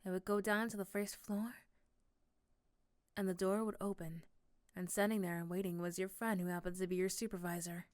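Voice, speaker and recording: A woman softly narrating a scripted second-person ASMR story, hushed and often close to a whisper. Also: mid-range voice, little pitch movement, normal speed.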